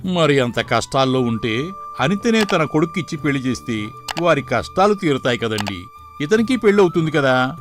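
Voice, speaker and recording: A man's voice: medium pitch, big pitch swings, normal speed, over music, lightly acted. Man acting a chatty, lifted-voice village temple priest. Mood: curious. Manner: polite, gently probing. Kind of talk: dramatised moral story dialogue.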